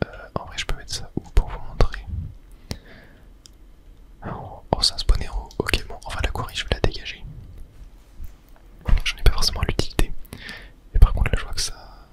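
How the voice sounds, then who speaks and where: An ASMR game host speaks entirely in a breathy whisper, with no voiced tone. The delivery is casual, like someone thinking aloud while playing and explaining each step to viewers. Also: normal speed, long pauses. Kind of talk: whispered ASMR gaming commentary.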